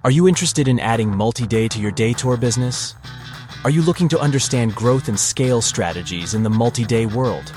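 A man reading a scripted event ad in a smooth, persuasive promo delivery. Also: medium pitch, lively intonation, a little fast, a little mellow. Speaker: ad announcer. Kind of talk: scripted ad read. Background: guitar music.

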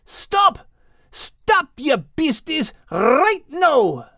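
A man's voice: very high voice, huge pitch swings, extremely slow, pushed and bright, dry recording, studio quality, voice pushed up, heavily acted. A man voicing a small, bold, protective Scottish dog with a commanding manner in an audiobook. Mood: urgent shouting. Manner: commanding, exclamatory. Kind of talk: audiobook character acting.

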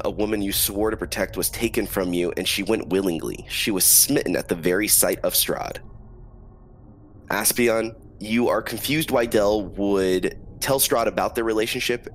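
A man with a cold, running a tabletop game as dungeon master and narrating the scene in a slow, solemn way. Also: low voice, a little hiss or hum. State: has a cold.

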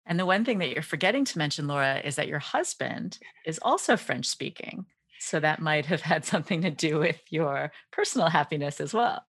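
A woman's voice: low voice, lively intonation, a little fast, fairly bright tone, husky, dry recording, studio quality. A woman hosting a podcast interview in a composed way, speaking directly to the listeners. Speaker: podcast host.

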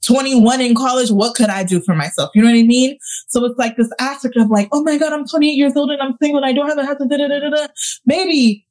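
A woman's voice: high voice, quick pace, a little mellow. A woman in her late twenties, co-hosting a casual podcast chat, earnest and reflective, talking in long run-on thoughts. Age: 20s. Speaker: podcast co-host.

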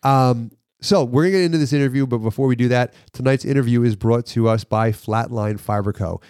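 Congested chatty podcast host, dry mic. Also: male voice, medium pitch, a little fast, darkish tone, clear, resonant tone, no echo. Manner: loose and chatty, reads sponsor spots. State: congested from a cold. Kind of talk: casual podcast chat with ad reads. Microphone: studio podcast mic, dry sound.

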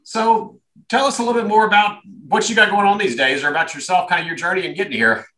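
A man's voice: sits high, fast, pushed and bright, poor audio. A man hosting a business podcast, speaking in a loose, rambling way with repeated words. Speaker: podcast host. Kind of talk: podcast interview.

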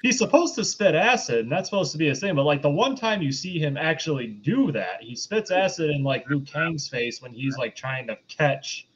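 A man's voice: on the high side, lively intonation, moderate pace, few pauses, fairly bright tone, slightly rough. A man chatting casually as a panelist on a film review podcast. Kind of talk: casual discussion. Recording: slightly dull, lo-fi voice audio.

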